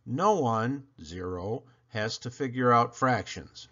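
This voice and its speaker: A man's voice: mid-range voice, expressive, takes it slowly, fairly bright tone. Guy doing a dramatic kids book read aloud, really up and down voice, takes his time, bit lo-fi. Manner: dramatic, emphatic phrasing with playful swoops. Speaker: storyteller reading a picture book aloud. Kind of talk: animated read-aloud narration of a children's story.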